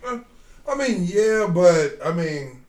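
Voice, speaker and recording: A man's voice: sits high, unhurried, echoey room, distant mic. A man co-hosting a podcast, talking casually and animatedly, swearing often. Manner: animated, swears a lot, repeats "you know what I'm saying". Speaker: podcast co-host. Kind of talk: casual chat.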